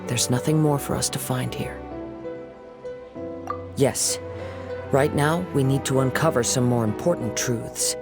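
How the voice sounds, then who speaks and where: A man voicing a calm, sharp young detective in a game, laying out his reasoning in a composed, analytical way. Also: mid-range voice, unhurried, long pauses, over music, natural voice.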